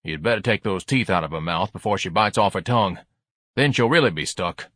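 A man's voice: sits low, expressive, a little fast, a bit bright, breathy edge, dry recording, full character voice. A man voicing an exasperated middle-aged husband in an audiobook, with a dry, grumbling manner. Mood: dry sarcasm.

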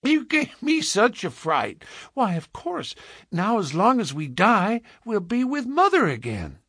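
A man's voice: sits high, big pitch swings, unhurried, fairly bright tone, husky, dry recording, clean studio sound, pitched above the performer's own voice, exaggerated voice. A man voicing an innocent little girl in a storybook, with artless, earnest, childlike prattle and a thin voice. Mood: gleeful, trusting. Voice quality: thin, lighter voice.

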